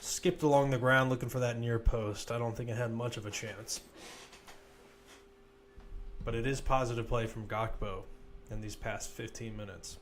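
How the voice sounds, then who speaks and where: A man's voice: medium pitch, fairly even intonation, moderate pace, long pauses, a little hiss or hum. A man commentating live on a football match, mixing play-by-play calls with informal, conversational analysis.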